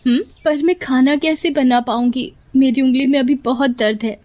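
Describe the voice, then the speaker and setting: Casting: woman for put-upon young daughter-in-law in a cartoon tale, high, weepy, pleading. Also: fairly even intonation, moderate pace, a little mellow, close to an everyday voice. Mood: plaintive. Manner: plaintive, pleading. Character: meek, mistreated young daughter-in-law, tearful.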